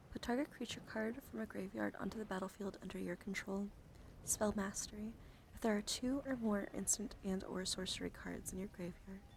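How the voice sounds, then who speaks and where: A woman streaming ASMR, reading aloud slowly and soothingly in a breathy whisper and soft murmur. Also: medium pitch, noisy background.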